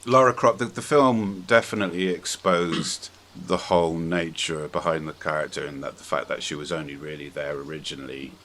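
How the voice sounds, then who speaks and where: Man, very deep voice, podcast host, casual film chat, blunt and halting delivery, faint-to-clear background noise. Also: fairly even intonation, a bit bright. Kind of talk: casual opinionated film discussion.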